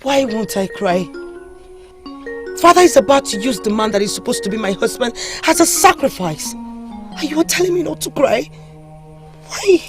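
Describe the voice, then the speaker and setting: A woman's voice: lowish voice, expressive, moderate pace, over music, close to an everyday voice. An actress playing a young princess who pleads tearfully for the man she must marry. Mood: pleading. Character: young anxious princess pleading for her beloved.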